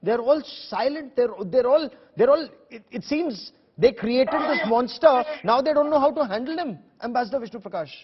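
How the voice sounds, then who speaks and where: A man's voice: very high-pitched, expressive, moderate pace, hardly any pauses, muffled recording, a crowd in the background. A man with an Indian accent hosting a live TV news debate, putting questions insistently and repeating himself for emphasis. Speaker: news show host.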